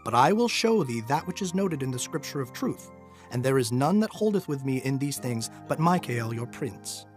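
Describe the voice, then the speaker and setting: A solemn heavenly messenger, played by a man, speaks in a medium-pitched voice with music underneath. He declaims prophecy of warring kings in a measured, grave way, as in an audio scripture drama. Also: animated, natural voice. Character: solemn male angel messenger foretelling wars of kings. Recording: music behind the voice.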